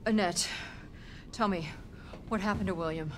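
An actress playing a cold, preoccupied scientist in a video game cutscene, guarded, clinical and brusque. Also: female voice, lowish voice, normal speed, natural voice. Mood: grim, intent. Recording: clear background noise.

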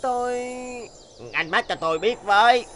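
A woman voicing a young bird in a fairy-tale cartoon, expressive and hesitant in speech. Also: medium pitch, a little slow, close to an everyday voice. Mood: wheedling. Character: young cartoon bird, naive or scheming by turns. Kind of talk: animated fairy-tale dubbing.

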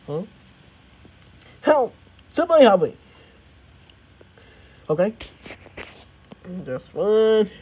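Excitable man doing amateur cartoon parody, wildly varied pitch. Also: drawn-out words, long pauses, a little hiss or hum, rough recording. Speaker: amateur fan dubber. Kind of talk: exaggerated cartoon parody dubbing.

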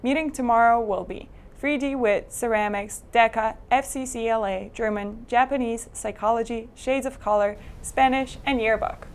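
A teenage girl from Poland reads club news as a student anchor in a school TV studio. Her voice sits fairly high. She reads in an upbeat, steady way. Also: normal speed, hardly any pauses, clean tone. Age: teens. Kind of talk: reading school announcements.

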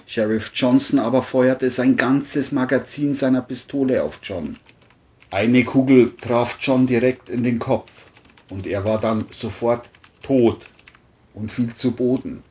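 Very deep-voiced woman narrating. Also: unhurried, warm tone. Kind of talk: scripted crime story narration.

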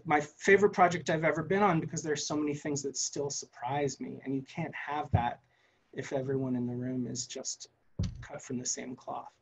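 Guy on a video call panel, slightly high voice, earnest, kinda halting. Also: husky, poor audio. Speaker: animation creator on a panel.

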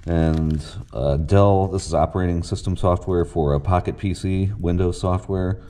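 A man's voice: low-pitched, fairly even intonation, normal speed, warm tone. A man vlogging in a casual, unscripted way, with a rambling, hesitant, matter-of-fact delivery. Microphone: action camera worn or handheld. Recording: noisy action camera, traffic and ambient noise.

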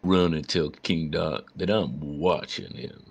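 A huge old dragon played by a man speaks in a deep, gravelly rumble. He is measured and unhurried, with a dry threat, in the manner of a comic-book dub. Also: full character voice. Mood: dry, calm menace.

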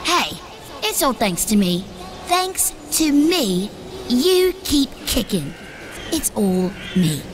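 A woman's voice: a little high, sing-song, a little hiss or hum. A woman voicing a tiny, boastful, bossy male elf as comic relief in a comic dub. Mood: smug, delighted. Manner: dramatic, scolding, boastful, drawn-out words. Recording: loud music under the voice.

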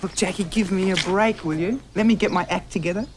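A man's voice: sits high, a little fast, background noise, close to an everyday voice. A young man with an Australian accent playing a flustered law student, sounding exasperated and pleading. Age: young adult. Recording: film trailer with loud background.

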